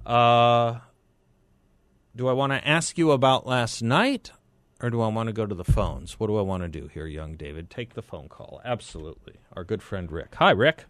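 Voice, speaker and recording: A man with an American accent and a low-pitched voice hosts talk radio. He speaks in a conversational on-air style, and the sound is dry and close, with no room around it. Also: animated, moderate pace, a bit bright, no echo. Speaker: radio talk show host. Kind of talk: live talk radio chat and host-read ads.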